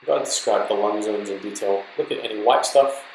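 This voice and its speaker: A man's voice: lowish voice, brisk, a little mellow, echoey room, a little hiss or hum, poor audio. A man giving an informal, explanatory slide lecture to trainee doctors, talking them through examples in an instructive way. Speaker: medical lecturer.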